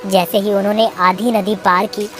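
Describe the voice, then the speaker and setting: A woman's voice: mid-range voice, flat delivery, moderate pace. Woman narrating children's story over music.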